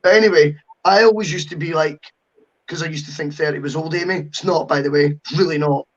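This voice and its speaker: High-voiced man, chatty podcast host. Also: fairly quick. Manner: talkative, opinionated, jokey. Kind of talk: casual football podcast chat. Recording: dull lo-fi audio.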